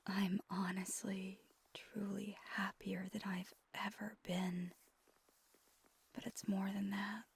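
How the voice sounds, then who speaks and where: Breathy, husky vampire girlfriend acted by a woman. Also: medium pitch, no echo, full character voice. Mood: tender, peaceful. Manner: slow, gentle, intimate. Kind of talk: ASMR roleplay, soft whispered pillow talk.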